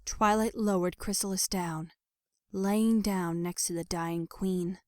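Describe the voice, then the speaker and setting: A woman's voice: lowish voice, fairly even intonation, takes it slowly, no room sound, studio quality. A woman reading scripted story narration in a dramatic audio fiction style. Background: music.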